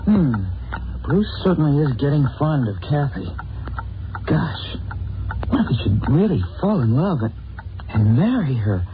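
A woman's voice: sits low, sing-song, unhurried, pauses often. A woman playing an earnest, anxious teenage boy sidekick in melodramatic old radio superhero style. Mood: brooding. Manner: wide-eyed, hesitant, melodramatic. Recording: noisy, tinny old recording.

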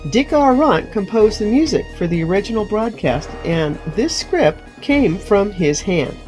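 A woman's voice: mid-range voice, very animated, measured pace, a little mellow. A woman presenting a radio show, reading scripted announcements and credits over faint music. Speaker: presenter and director.

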